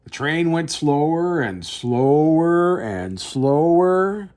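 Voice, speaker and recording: A man's voice: on the high side, animated, takes it slowly, a little mellow. A man hosting story time, reading a picture book aloud with dramatic phrasing and talking directly to young listeners. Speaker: children's story reader, host.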